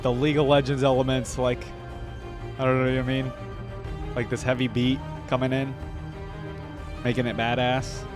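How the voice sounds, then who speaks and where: A man giving casual, enthusiastic reaction commentary as the host of a fan channel, speaking informally with slang. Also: medium pitch. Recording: music playing behind the voice. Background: video game theme music.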